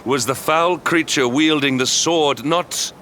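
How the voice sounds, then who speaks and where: An actor playing a stern, dutiful knight with a formal, grave manner in a video game cutscene. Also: male voice, fairly high pitch, a little slow, pushed and bright, a little hiss or hum. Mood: grave, doubtful.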